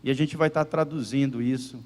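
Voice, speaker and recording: A man's voice: medium pitch, steady tone, a little fast, darkish tone. A man, the pastor, introducing a guest to his church and interpreting the sermon live, with fillers and repetitions. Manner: fervent, repeats phrases, fillers like "né". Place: church service. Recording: roomy church reverb.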